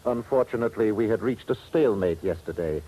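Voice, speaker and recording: An actor playing a suave, pompous wealthy collector with precise, effusive speech in a 1950s radio drama. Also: male voice, lowish voice, fast, highs cut off, lightly acted. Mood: haughty. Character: haughty, suave wealthy diamond collector and connoisseur.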